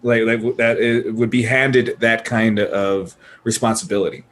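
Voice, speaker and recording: A man's voice: lowish voice, level tone, moderate pace, a bit bright. A man in a casual panel chat, talking emphatically and opinionatedly and swearing freely. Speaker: podcast panelist. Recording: clear background noise.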